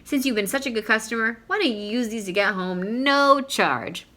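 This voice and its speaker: A woman's voice: a little high, expressive, normal speed, pushed and bright, pitched above the performer's own voice. A woman voicing an earnest, helpful young shop girl in a storybook, chatty and reassuring. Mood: apologetic.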